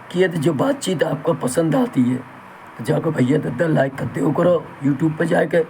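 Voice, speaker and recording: A man with a sore throat, hosting his own channel and talking in a slow, halting, folksy and reverent way. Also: on the high side, gravelly, a little mellow, slight background noise, poor audio. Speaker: channel host. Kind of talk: talk in Hindi with Bundeli dialect.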